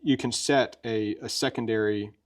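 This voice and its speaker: A man's voice: on the low side, steady tone, normal speed, a little hiss or hum. A man presenting a gear review in a casual, folksy, conversational way, as if showing a friend how it works. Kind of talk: casual product demonstration chat.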